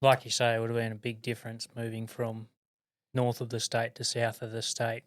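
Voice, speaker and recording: A man hosting a casual fishing podcast, introducing and questioning his guest in a steady, easygoing way. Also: medium pitch, a little fast, no room sound, clean studio sound. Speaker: podcast host.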